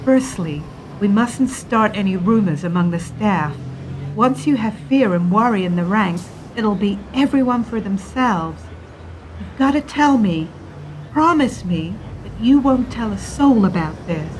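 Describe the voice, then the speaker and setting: An actress playing an anxious, secretive senior bank worker in a radio play, speaking with urgency and emphasis. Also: female voice, medium pitch, animated, unhurried, lightly acted, poor audio. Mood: worried, urgent. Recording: clear background noise.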